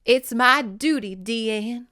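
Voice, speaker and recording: A woman's voice: on the high side, slow pace, bright, forceful tone, dry recording, studio quality. A woman voicing an earnest, dutiful young princess, nervous but resolute, in formal speech from a romance novel. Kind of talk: dramatic romance novel reading.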